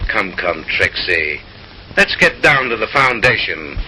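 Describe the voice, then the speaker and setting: A man's voice: fairly low pitch, very animated, a little slow, pushed and bright, slight background noise, poor audio. A man narrating in a mid-century comic newsreel style, with an arch, mock-refined, theatrical delivery, on a vintage film soundtrack.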